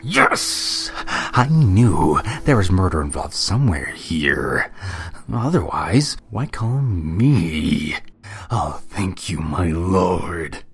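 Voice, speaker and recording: A gleeful stallion assassin for an audio play, low and full of creak. The delivery swings theatrically up and down, lingering slowly on words with fawning, bloodthirsty relish. Music plays underneath. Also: male voice, lively intonation, heavily acted. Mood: excited. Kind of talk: audio drama character acting.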